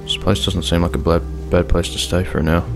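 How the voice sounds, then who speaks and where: A man playing a cautious, unsure zombie apocalypse survivor in an improvised game roleplay, speaking in a hesitant, low-key way. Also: level tone, fairly quick, over music. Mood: wary, practical.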